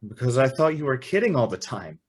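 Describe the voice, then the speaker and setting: A man's voice: medium pitch, very animated, fairly quick, husky. A man acting a bewildered, earnest young man who tells and lives his story in a radio drama, a little hesitant. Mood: incredulous. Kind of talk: old-time radio drama acting and first-person narration.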